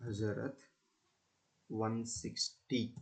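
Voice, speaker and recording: A man narrating a step-by-step software demonstration in a halting, repetitive way. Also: fairly low pitch, flat delivery, gravelly. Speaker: student presenter demonstrating software. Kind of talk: screen-recorded tutorial demonstration.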